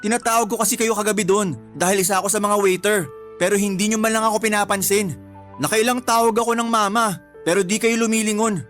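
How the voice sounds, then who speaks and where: An actor with a Filipino accent playing an aggrieved grown son, pleading and indignant, in radio drama style. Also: male voice, high voice, fairly even intonation, quick pace, a bit bright. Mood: hurt, earnest. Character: hurt, aggrieved grown son, a working-class waiter. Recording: faint music bed.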